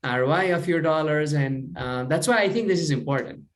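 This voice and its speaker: A man's voice: a little high, a little fast. A man from Nepal with a Nepali accent speaks conversationally as an interview guest, explaining things with frequent "you know" and "right?" tags. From Kathmandu, Nepal. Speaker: company co-founder being interviewed.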